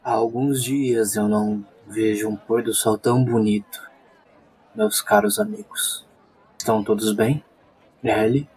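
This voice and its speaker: A man playing a serene, caring adventurer in a tabletop game, with measured, formal speech. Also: on the low side, expressive, a little mellow, some chatter behind, slight background noise, rough recording. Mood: calm, curious. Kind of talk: tabletop roleplay acting. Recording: music playing behind.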